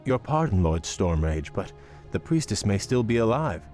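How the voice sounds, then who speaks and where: An actor playing a courteous, calm elven prince in a fantasy video game, formal and diplomatic. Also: male voice, lowish voice, moderate pace, soft background music. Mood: cautious, polite.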